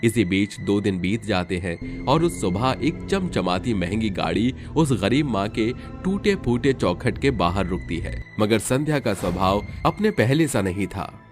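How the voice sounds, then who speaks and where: A man's voice: on the low side, big pitch swings, a little slow, few pauses. A man reading scripted, dramatic storytelling narration. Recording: music under the voice.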